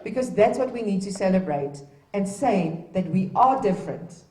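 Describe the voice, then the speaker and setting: A woman's voice: medium pitch, lively intonation, normal speed, darkish tone. Woman giving an echoey speech. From Namibia. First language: Afrikaans. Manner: earnest, exhorting, with restarts. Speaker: opening speaker at a heritage event. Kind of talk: public speech. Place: indoor event at a museum. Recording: echoey room, distant mic.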